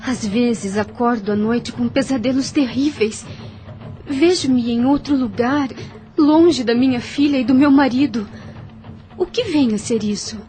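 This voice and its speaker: A woman playing a gentle, devoted young plantation wife in an audio drama, sweet, earnest and emotive. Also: fairly high pitch, unhurried, faint music behind, close to an everyday voice. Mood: troubled, earnest.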